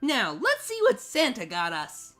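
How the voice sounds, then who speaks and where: A woman playing a teasing young housemate in a dramatized cartoon fan story, with a playful, casual, expressive delivery. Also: a little high, a little slow, heavily acted. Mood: gleeful. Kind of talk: dramatized fan fiction audio reading. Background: background music.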